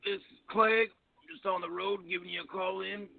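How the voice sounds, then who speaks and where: A man's voice: sits high, fairly even intonation, normal speed, gravelly. A man chatting casually on a phone headset as he calls in from the road. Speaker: caller. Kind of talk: casual chat. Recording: tinny headset call from the road.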